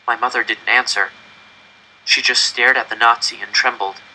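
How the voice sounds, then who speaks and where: Bright, forceful male story narrator. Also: medium pitch, steady tone, normal speed, slightly rough, poor audio. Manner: dramatic, tense storytelling. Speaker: audiobook reader. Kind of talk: scripted first-person story narration.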